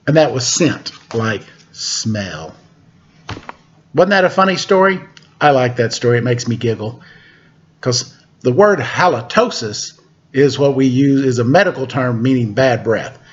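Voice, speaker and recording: A man hosting a children's story time, reading a picture book aloud in an expressive, dramatic way with casual asides. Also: medium pitch, unhurried, muffled recording, slight background noise. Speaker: storyteller and show host.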